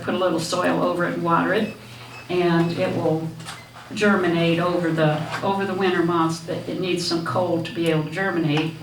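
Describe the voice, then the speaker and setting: Soft-spoken woman in an echoey hall. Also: lowish voice. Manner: soft-spoken, halting with many fillers. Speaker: guest speaker. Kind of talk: casual talk to a women's lunch group. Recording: echoey hall, distant, background noise.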